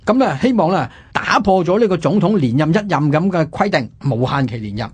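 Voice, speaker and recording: A man's voice: a little high, animated, brisk, slightly rough. A male radio host with a Hong Kong Cantonese accent, telling history conversationally and emphatically with rhetorical questions.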